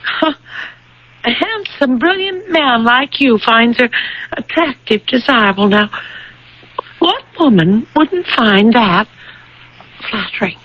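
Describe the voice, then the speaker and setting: An actress playing a gentle, insistent young bride-to-be in a vintage radio drama, with deliberate, pleading delivery. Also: female voice, on the high side, animated, dry recording, exaggerated voice. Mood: reassuring. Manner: slow, deliberate, pleading, repeats phrases. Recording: dull vintage radio broadcast recording.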